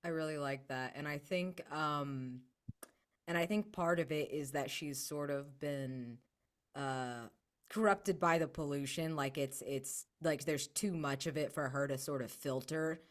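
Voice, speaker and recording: A woman with a notably low voice takes her time as she talks. She is informal and enthusiastic, tossing ideas around as a player in a tabletop game. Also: a little slow. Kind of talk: casual collaborative game brainstorming.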